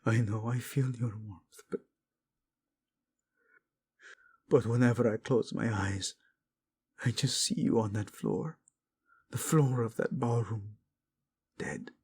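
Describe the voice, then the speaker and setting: Protective, lovelorn prince male actor: breathy and husky, very unhurried, intense and tender, romantic audio roleplay. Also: mid-range voice, animated, a little mellow. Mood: haunted, tender. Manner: intense, pleading, tender, often dropping to a whisper. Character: devoted, protective, guilt-ridden prince in love.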